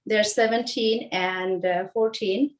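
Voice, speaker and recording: A woman in her forties from Bangladesh, explaining home recipes in a casual, halting way with lots of fillers and restarts. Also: mid-range voice, steady tone. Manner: hesitant, many fillers and restarts. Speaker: home cook guest. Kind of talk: casual cooking demonstration.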